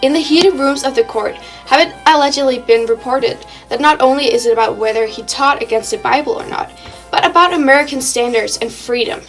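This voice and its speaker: A woman's voice: sits high, fairly quick, fairly bright tone, faint music behind, low-quality recording. A young woman reading a scripted news report in the style of a 1920s radio program.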